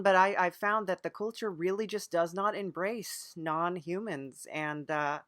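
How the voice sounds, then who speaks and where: A woman's voice: lowish voice, a bit bright, no echo, studio quality. A woman interviewing on an improvised comedy podcast, playing along earnestly in a hesitant way, often repeating her phrases. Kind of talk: improvised mock-serious interview.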